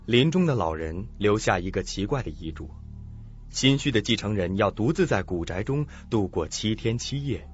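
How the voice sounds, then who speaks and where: A man's voice: lowish voice, expressive, moderate pace. A man narrating a scripted suspense radio drama as storyteller. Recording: dull lo-fi audio with faint music.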